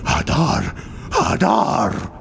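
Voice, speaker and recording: A man's voice: mid-range voice, wildly swooping pitch, very slow, gravelly, fairly bright tone, heavily acted. Man acting out a grunting ram-headed beast man, noisy recording. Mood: alarmed, shouting. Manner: grunting, babbling.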